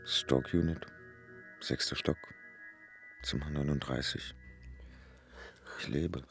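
A man's voice: a bass voice, steady tone, slow, vocal fry, soft background music, full character voice. An actor in a radio drama playing a weary, wry stroke patient who recounts his stay in hospital. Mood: subdued, weary. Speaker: actor narrating in first person.

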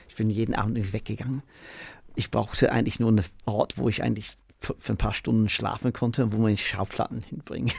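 A man's voice: on the low side, creaky, a little mellow, slightly rough, no echo, studio quality. An older man from Manchester, a native English speaker with an English accent, tells casual anecdotes as an interview guest in a rambling way, repeating words. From Manchester, England.

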